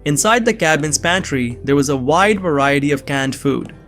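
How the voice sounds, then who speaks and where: A man's voice: fairly high pitch, animated, normal speed, a bit bright, faint music behind. A young man giving earnest, scripted first-person narration of a suspense story. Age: young adult.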